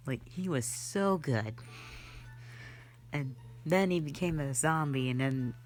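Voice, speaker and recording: A woman's voice: low voice, slow, a little mellow, faint music behind. A woman playing a rambling, easygoing, flirty magic mentor in a fantasy roleplay audio drama, talking in a hesitant, offhand way full of asides. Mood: chatty, offhand.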